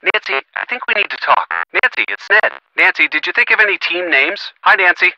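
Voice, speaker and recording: Young american boyfriend in a game, earnest n wry, really bright forward voice, bit muffled. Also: male voice, high voice, fairly quick, no room sound, heavily acted. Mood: friendly. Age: young adult. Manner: conversational, expressive, wry. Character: earnest young boyfriend of a sleuth, gently sardonic. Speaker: voice actor. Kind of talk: video game phone-call dialogue.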